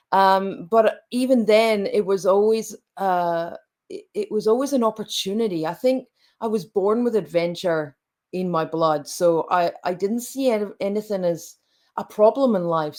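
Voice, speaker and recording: Guest coach, woman late forties, thoughtful and halting, mid-pitched voice. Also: darkish tone. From Scotland. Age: late 40s. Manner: reflective, halting, many restarts. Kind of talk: conversational interview.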